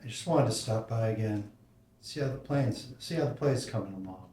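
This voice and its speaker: An actor reading the part of a gentle, loving father at a screenplay table read. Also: lowish voice, fairly quick, recorded from a distance, a little hiss or hum, lightly acted. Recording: echoey room, away from the mic.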